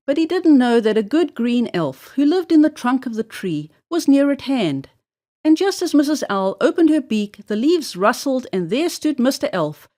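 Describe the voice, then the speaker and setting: A woman narrating a children's story aloud in an expressive, deliberate storybook style. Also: on the high side.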